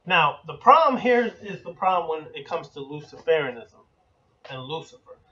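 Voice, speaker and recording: A man with a slightly raised pitch teaches in a measured, deliberate way, stacking his points one after another in lists. The recording is dull and lo-fi, and he sounds set back from the microphone. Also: sing-song, sharp tone, recorded from a distance. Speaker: occult teacher. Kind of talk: spoken lecture.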